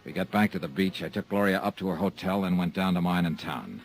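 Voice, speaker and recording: Male lead, lowish voice, hard-boiled and sardonic, vintage radio narration. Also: fast, soft background music. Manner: dry, wisecracking, hard-boiled. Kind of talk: 1950s radio drama narration and acting.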